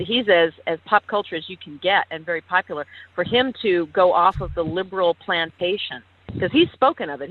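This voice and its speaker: A woman guest talking on the phone, emphatic and opinionated, restarting often. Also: medium pitch. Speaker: guest, actress and activist. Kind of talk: phone-in interview. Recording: tinny phone line.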